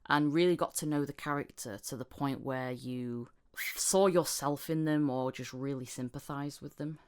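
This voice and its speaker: A woman's voice: rumbling low, fairly even intonation, moderate pace, darkish tone, clear, resonant tone. A woman hosting a casual interview podcast, chatting informally. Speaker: podcast host, amateur voice actor.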